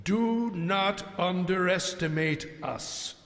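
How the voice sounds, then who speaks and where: Pompous rally announcer man, game cutscene, high voice, slow, big hall echo. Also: a bit bright, heavily acted. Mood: grandiose. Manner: pompous, grandiose. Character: pompous male party announcer introducing a candidate. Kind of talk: political rally speech. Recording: echoing hall with long reverb.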